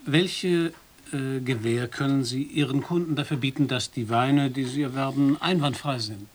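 An actor plays an American radio correspondent, dry and quietly ironic in his reporting. His voice is mid-range, with clear street ambience around it, as in a staged outdoor report for a radio play. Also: mid-range voice, normal speed, a little hiss or hum, natural voice. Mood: polite.

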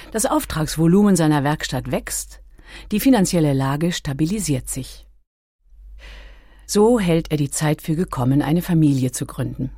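Woman, deep register, scripted documentary narration, composed, clear articulation, dry studio sound. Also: mellow, dry recording. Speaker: audiobook narrator.

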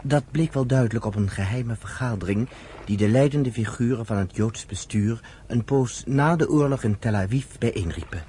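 A man's voice: medium pitch, normal speed, warm tone, slight background noise, close to an everyday voice. An actor playing a man intimately telling his family's story in a Dutch radio play. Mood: tender, reflective.

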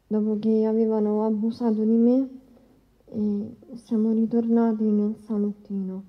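An actress playing a young woman testifying in a courtroom drama, shaken but defiant, earnest and halting. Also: on the high side. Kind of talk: courtroom docudrama acting. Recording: dull sound with long hall reverb.

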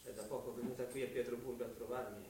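A man's voice: mid-range voice, lightly acted. A young man playing a poor former student, polite, guarded and uneasy, in a radio drama. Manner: polite, hurried, flat. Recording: echoey, distant, faint hiss.

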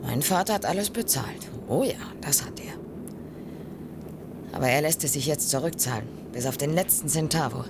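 A low-voiced, guarded, proud heiress. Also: female voice, lively intonation, moderate pace, noisy background. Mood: proud, bitter. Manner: cool, guarded, pointed. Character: proud, wary young businesswoman seeking independence from rich father. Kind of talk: German radio play acting, 1980.

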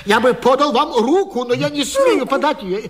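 An actor playing an elderly jealous husband in a radio vaudeville, stammering, apologising and correcting himself in a flustered, grovelling way. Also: male voice, very high voice, sing-song, moderate pace, heavily acted. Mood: panicked, grovelling. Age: older. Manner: stammering, babbling, self-correcting. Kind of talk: theatrical vaudeville radio comedy acting.

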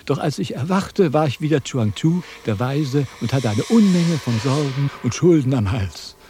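An actor playing a self-important, wordy wandering philosopher in a declamatory, quibbling radio drama style. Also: male voice, mid-range voice, lively intonation, normal speed, dark tone, soft background music, natural voice. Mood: pompous reasoning. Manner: declamatory, pompous, sophistical. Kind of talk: theatrical German radio drama acting.

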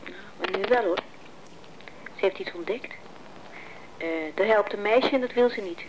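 A woman's voice: medium pitch, moderate pace, close to an everyday voice. An actress playing a wistful, affectionate married woman in a radio play, asking intimate, probing questions. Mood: insistent. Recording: noisy radio play recording.

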